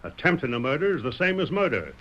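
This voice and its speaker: Forceful, stern western sheriff. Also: male voice, fairly high pitch, big pitch swings, quick pace, highs cut off. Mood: menacing. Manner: blunt, folksy, insistent. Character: stern, manipulative frontier sheriff. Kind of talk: old-time radio western acting.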